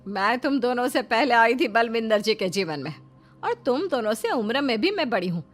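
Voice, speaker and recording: Cartoon mother-in-law acted by a woman: older, demanding, quarrelsome, fairly high voice, emphatic delivery, music underneath. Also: very animated, normal speed. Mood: indignant. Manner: emphatic, coaxing, imperious.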